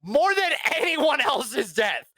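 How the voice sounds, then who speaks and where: A woman's voice: sits high, very animated, slow pace, sharp tone, dry recording. A woman co-hosting a casual, opinionated review chat, talking bluntly in slang with plenty of swearing. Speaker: podcast co-host reviewing animated films.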